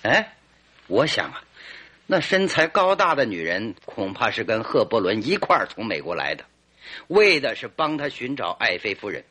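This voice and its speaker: Radio drama detective: smug and theatrical, bright forceful tone, slightly rough edge. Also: male voice, fairly low pitch, sing-song, moderate pace, heavily acted. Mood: confident, self-satisfied. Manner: theatrical, emphatic, self-assured. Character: confident, self-satisfied male detective expounding his theory.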